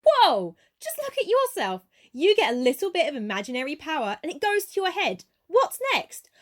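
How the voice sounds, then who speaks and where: Petulant, evasive young female passenger woman acting, radio play; very high, swooping voice. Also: big pitch swings, normal speed. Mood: mocking. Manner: defensive, petulant. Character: self-absorbed, evasive young social-media-obsessed woman.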